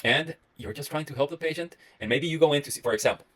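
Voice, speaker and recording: A man in his thirties from Costa Rica with a Costa Rican Spanish accent, a medical resident giving an earnest, rambling talk to camera with many pauses and restarts. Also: on the high side, a bit bright. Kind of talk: solo reflective talk to camera.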